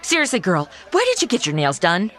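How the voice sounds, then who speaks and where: Woman acting a bright, sassy sarcastic wisecracker. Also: medium pitch, wildly swooping pitch, brisk, faint music behind. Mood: catty, flippant. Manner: sarcastic, chatty, complaining. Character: sassy, flippant modern woman stuck in 1950s noir Cuba. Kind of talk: comedy audio drama acting.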